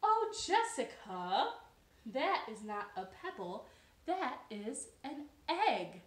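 A woman's voice: sits high, very animated, slow pace, roomy sound, exaggerated voice. A woman voicing a wise know-it-all female frog in a children's story, assured and explanatory.

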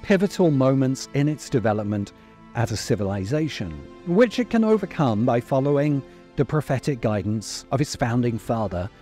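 A middle-aged man narrating a scripted video essay in a deliberate, emphatic way, close to the microphone. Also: medium pitch, lively intonation, mellow, faint music behind. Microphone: close desk microphone.

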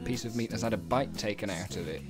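A man's voice: on the low side, fast. A man chatting casually and mock-seriously as he gives behind-the-scenes commentary on a puppet show. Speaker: puppeteer and writer giving commentary. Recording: music playing behind. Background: show soundtrack with music.

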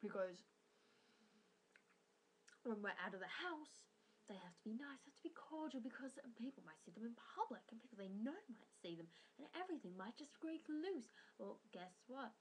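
A woman's voice: fairly high pitch, very animated, moderate pace, slight background noise. A teenage girl with a slight Welsh accent, rambling casually to her webcam in her bedroom and swearing now and then, with many ums. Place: small bedroom with posters, indoors. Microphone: built-in webcam mic.

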